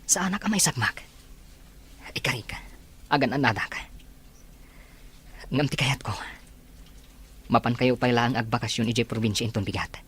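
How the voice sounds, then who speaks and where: An actor playing a determined young adult son in an Ilocano radio drama. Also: male voice, medium pitch, normal speed, pauses often, fairly bright tone, husky. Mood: reassuring, earnest. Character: determined grown son, plotting against a criminal.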